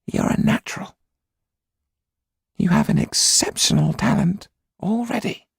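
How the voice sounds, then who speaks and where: A man voicing a solemn older Romany mystic in an audiobook, with a whispery, gravelly, husky voice and slow, hushed storytelling. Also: sits high, dry recording, clean studio sound, pitched above the performer's own voice, exaggerated voice. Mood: solemn pride. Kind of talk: audiobook character reading.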